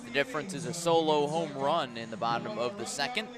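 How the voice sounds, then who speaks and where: A man calling baseball play-by-play live on the radio, measured in delivery and punchy on the action. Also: medium pitch, a crowd in the background. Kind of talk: live radio sports commentary. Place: outdoor ballpark.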